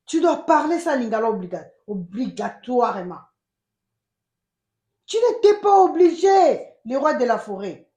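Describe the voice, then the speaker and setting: A woman with a Congolese accent giving emphatic, animated casual commentary as a channel host, switching between French and Lingala. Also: on the high side, measured pace, reverberant. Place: United States.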